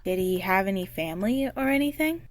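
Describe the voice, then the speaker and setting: A woman playing a friendly, caring security guard coworker in an audio drama, with a gently teasing manner. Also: mid-range voice, expressive, fairly quick, dry recording, natural voice. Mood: gentle, sympathetic.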